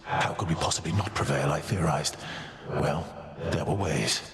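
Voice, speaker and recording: A man playing a proud, prophetic titan in a video game, speaking formally and deliberately with theatrical gravity. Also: a bass voice. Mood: measured, solemn. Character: proud prophetic male titan, measured and solemn. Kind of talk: video game character acting. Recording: echoing voice under game music.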